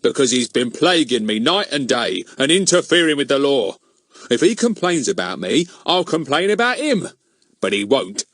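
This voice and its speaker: A man's voice: medium pitch, very animated, moderate pace, fairly bright tone, no echo, studio quality, voice pushed up. A man voicing a smug, rude village policeman in a blustering, emphatic manner for a children's storybook. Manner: blustering, emphatic, uneducated grammar.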